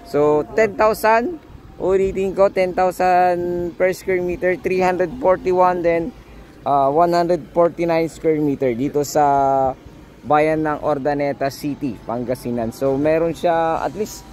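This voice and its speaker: A man's voice: a little slow, darkish tone, slight background noise. A man with a Filipino accent, a real estate agent giving a casual property tour outdoors, chatting and switching between English and Tagalog. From Philippines. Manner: chatty code-switching, rising pitch when asking. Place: outdoors at a subdivision lot.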